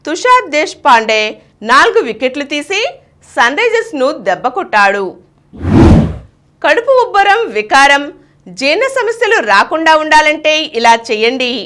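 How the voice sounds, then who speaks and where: A woman's voice: high voice, fairly bright tone. A woman news reader, delivering scripted bulletins and features.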